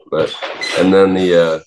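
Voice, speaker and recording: A man of twenty, a singer-songwriter, answering interview questions in a halting way with many pauses and restarts. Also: deep voice, noisy background. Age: 20s.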